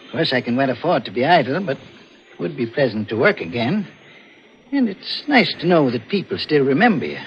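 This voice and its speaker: A man's voice: mid-range voice, very animated, a little fast. An actor playing a proud, courteous man in his seventies, a diamond cutter with an ominous streak, savouring his phrases theatrically in 1940s radio thriller style. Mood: proud, eager. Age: 70s. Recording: muffled, noisy vintage radio recording.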